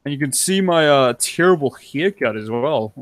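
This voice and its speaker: A man with a blended English and New Zealand accent chats on a voice call, poking fun at himself. His voice rises and falls a lot and sits fairly high for a man. The sound is dry and close. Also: lively intonation, moderate pace, dry recording. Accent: mixed English and New Zealand. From born in England, raised in New Zealand. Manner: self-deprecating, laughs often. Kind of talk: casual group chat.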